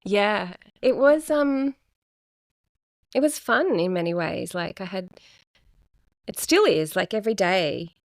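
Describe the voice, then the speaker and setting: A middle-aged woman, talking casually and reflectively as a podcast guest, pausing often. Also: mid-range voice, animated, no echo, clean studio sound. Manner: soft-spoken, reflective, with many pauses and fillers. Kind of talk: casual chat.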